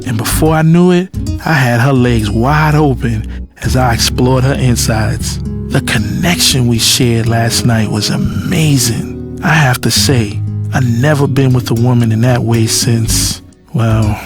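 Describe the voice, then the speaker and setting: A man's voice: mid-range voice, unhurried, close to an everyday voice. A man playing a cocky, blunt playboy who narrates his affair in slangy, nonchalant, sensual fashion for an audio drama. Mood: reflective, sensual. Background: music with guitar.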